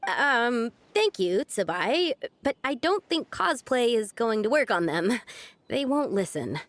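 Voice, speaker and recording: A woman playing an earnest, polite young woman with a hesitant, expressive manner in an anime game. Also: a little high, a little slow, no room sound. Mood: resigned.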